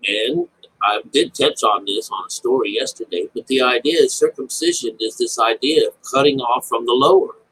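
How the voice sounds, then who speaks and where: A man with a husky voice giving informal, unscripted Bible commentary, rambling, repeating phrases and checking in with his listeners. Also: medium pitch, normal speed, a bit bright, slight background noise, rough recording. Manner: rambling explanation with frequent check-ins like "all right?" and "okay?". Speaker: Bible teacher.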